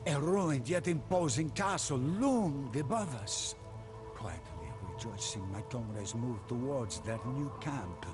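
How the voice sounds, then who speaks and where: A solemn soldier telling a dark tale in a medium-pitched voice. He narrates very slowly and deliberately, with theatrical weight, like a game cutscene. Music plays clearly beneath the studio narration. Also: animated. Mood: solemn, wondering. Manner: measured, theatrical. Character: soldier recounting a mysterious adventure, solemn storyteller. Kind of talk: dramatic scripted storytelling.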